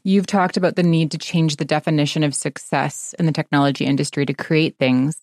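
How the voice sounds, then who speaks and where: A woman hosting a podcast interview, asking measured questions and adding brief acknowledgments. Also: low voice, fairly even intonation, a little mellow, no echo, studio quality. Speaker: podcast host.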